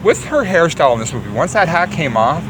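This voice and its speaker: A man's voice: fairly high pitch, very animated, fast, noisy background. A man co-hosting a podcast, talking casually about films in a blunt, offhand way. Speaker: podcast co-host. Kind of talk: casual film review chat.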